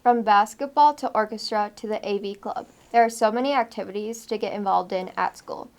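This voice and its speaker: A woman's voice: mid-range voice, brisk. A teenage girl co-anchoring a school news show, reading in an upbeat way. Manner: upbeat, scripted.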